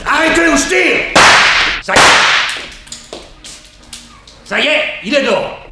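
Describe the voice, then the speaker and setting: An actor playing an eager, placating police official in a 1960s French radio serial, with a husky, animated voice. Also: male voice, very high-pitched, a little slow, very noisy, exaggerated voice. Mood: alarmed. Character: eager, reassuring male police official, somewhat complacent.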